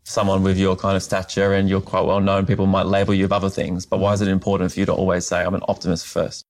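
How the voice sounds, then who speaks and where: An Australian man interviewing a guest, asking slow, thoughtful questions and murmuring along as he listens. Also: sits low, monotone. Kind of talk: conversational interview. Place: conference venue.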